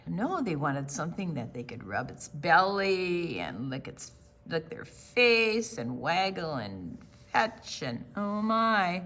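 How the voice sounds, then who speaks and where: A woman hosting a children's storytime, reading a picture book aloud with theatrical, deliberate phrasing that draws in young listeners. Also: on the low side, big pitch swings, a bit bright.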